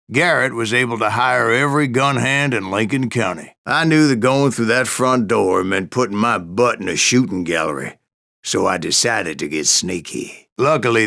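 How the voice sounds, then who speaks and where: A grizzled old gunslinger from a Western game, spinning tall tales in a salty American drawl. The voice is gravelly and forward, with a hard bright edge. It rises and dips with wry, boastful storytelling. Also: male voice, mid-range voice. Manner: folksy, sardonic, salty. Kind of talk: dramatic first-person storytelling.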